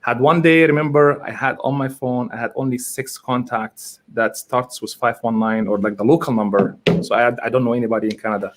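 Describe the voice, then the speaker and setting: A man's voice: mid-range voice, steady tone, a little fast, few pauses, slight background noise. A man from Egypt, a real estate agent, talking as a guest in a live interview, rambling and correcting himself with enthusiasm over a video call.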